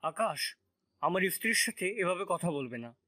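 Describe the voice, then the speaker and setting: Casting: male actor as measured middle-aged father, crisp bright tone, dry recording, audio story. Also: high voice, sing-song, no room sound, full character voice. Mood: calm, warning. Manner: measured, soft-spoken, firm. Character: calm, firm father, protective of his wife. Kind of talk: dramatised audio story acting.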